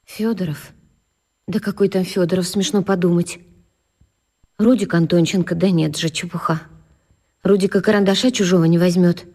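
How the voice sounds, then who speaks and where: An actress playing an anxious, soft-hearted schoolteacher who questions herself in agitated short bursts in a children's radio drama. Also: female voice, lowish voice, steady tone, normal speed, long pauses, slightly rough. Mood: worried, doubtful.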